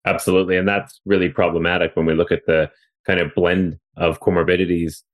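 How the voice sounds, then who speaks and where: A man's voice: low-pitched, little pitch movement, fairly quick. A Canadian man hosting a podcast interview, chatty and loose, full of fillers and restarts. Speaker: podcast host. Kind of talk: conversational interview. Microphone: remote call.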